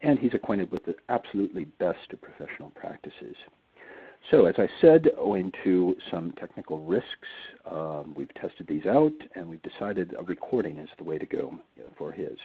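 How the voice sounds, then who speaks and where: A man's voice: low voice, animated, normal speed, slightly rough. A man hosting a webinar, asking questions and introducing guests in a courteous, formal way. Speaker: webinar host. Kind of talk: moderating a webinar. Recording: tinny phone-line audio.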